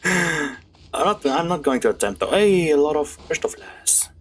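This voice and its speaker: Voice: medium pitch, very animated, normal speed, slight background noise. A game streamer making casual, giggly asides in a muttering way while playing. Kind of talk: casual game livestream commentary.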